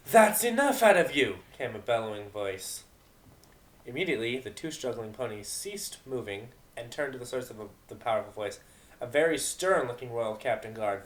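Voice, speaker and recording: A man reading narration for an amateur dramatic reading of a fan fiction story. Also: medium pitch. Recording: roomy, echoing home recording.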